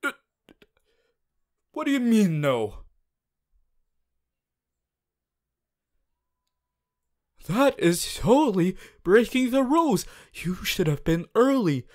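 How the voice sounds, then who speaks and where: Man acting a slow, earnest, strict class president. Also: high-pitched, sing-song. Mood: indignant. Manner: formal, preachy, exasperated, stiff phrasing. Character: strict, earnest teenage male class president, hero student. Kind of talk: ASMR character audio roleplay monologue.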